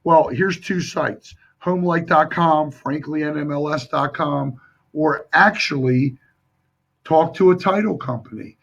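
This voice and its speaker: A hoarse man coaching viewers through a live sales training, speaking emphatically and addressing them directly. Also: fairly high pitch, unhurried, fairly bright tone. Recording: dull lo-fi stream audio.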